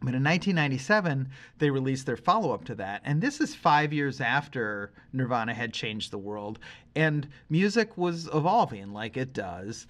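Male podcast host, forties, average pitch. Conversational music talk, opinionated and emphatic, wanders through his points. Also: sing-song, normal speed, fairly bright tone. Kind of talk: casual conversational music discussion.

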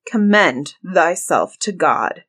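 A woman voicing a stern, murderous, commanding husband in a fairy tale, speaking deliberately and emphatically. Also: fairly low pitch, lively intonation, a bit bright, husky, full character voice. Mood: merciless. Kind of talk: dramatized fairy-tale storybook reading.

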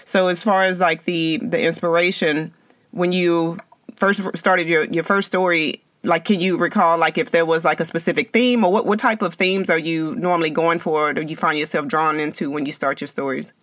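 A man's voice: fairly high pitch, steady tone, moderate pace, a bit bright, dry recording. A man hosting a podcast interview, upbeat and chatty, often restarting his questions. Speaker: podcast host. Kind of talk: casual interview.

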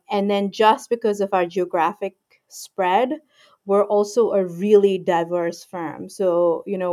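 A woman in her forties from Pakistan, calmly explaining her work as a guest in an interview with frequent ums and you-knows. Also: on the low side. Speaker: guest, IP lawyer. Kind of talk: casual interview talk.

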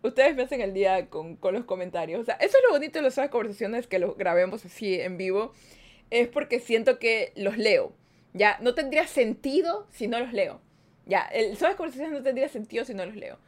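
A woman's voice: a little high, animated, a little fast. A woman hosting a live-streamed podcast, chatty and playful as she talks straight to her viewers. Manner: playful, chatty, reads viewer comments aloud. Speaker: podcast host.

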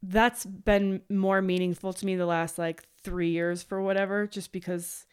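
A woman in her late twenties, a podcast guest, chatting in an animated way with quoted asides. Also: mid-range voice, measured pace, clean tone. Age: late 20s. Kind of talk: casual chat.

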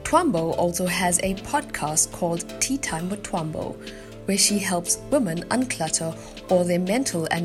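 Woman, podcast host, chatty interviewer, faint background music. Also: lowish voice. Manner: scripted introduction, then chatty follow-up questions.

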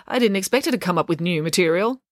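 Storybook pig nanny, female, haughty and self-assured, pompous delivery, lively intonation; performed by a woman reader. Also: on the low side, fast talker, no room sound, studio quality, lightly acted. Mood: begrudging. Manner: emphatic, pompous declarations.

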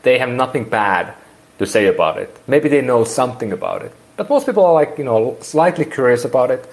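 A man's voice: medium pitch, animated, brisk, darkish tone. A man in his forties from Finland with a Finnish accent, speaking English as a second language and rambling through an interview with many restarts. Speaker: guest. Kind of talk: casual interview.

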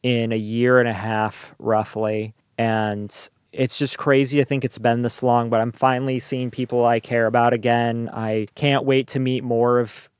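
A man's voice: mid-range voice, level tone, moderate pace, few pauses, clear, resonant tone, dry recording, studio quality. A young man from Maine co-hosting a podcast in casual chat, talking freely and often restarting his words. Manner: chatty, stammers and restarts often. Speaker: podcast co-host.

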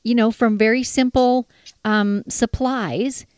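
A woman's voice: a little high, fairly even intonation, slow pace, darkish tone, clear, resonant tone. A woman from northern British Columbia, hosting a casual interview in a chatty, affirming way. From northern British Columbia, Canada. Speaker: interviewer, host.

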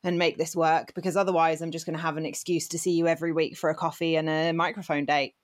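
A young woman with a notably deep voice, chatting on a podcast with a friend. She is easygoing and jokey, with casual swearing. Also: little pitch movement, fast. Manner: laid-back, jokey, swearing. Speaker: co-host and friend.

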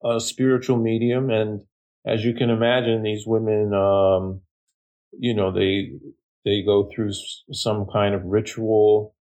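A middle-aged man from Japan telling a personal ghost story in casual, halting speech with many fillers and restarts. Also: lowish voice, little pitch movement, a little mellow.

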